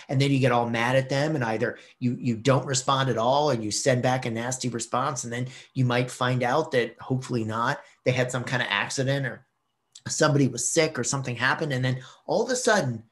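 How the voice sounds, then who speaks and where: A middle-aged man hosting his own video channel, telling a personal story to the camera with lively gestures and acted-out quotes, recorded in a small room at home on a webcam. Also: mid-range voice. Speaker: vlog host.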